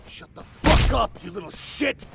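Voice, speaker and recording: A man voicing a crazed, violent gangster enforcer in a video game, shouting menacingly with loud noise and clatter behind him. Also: high-pitched, expressive, measured pace, vocal fry, heavily acted. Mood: furious. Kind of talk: video game cutscene acting.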